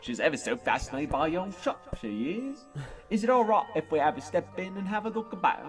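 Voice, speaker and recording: A doting, rustic pony father played by a man, animated and fairly high-voiced. He talks in homely, folksy turns in a storybook drama, a little distant from the mic. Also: brisk. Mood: hearty. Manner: rustic, folksy phrasing with tags at sentence ends. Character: doting, folksy working-class pony father delivering goods. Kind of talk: dramatised fan fiction audio. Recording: distant mic, music behind.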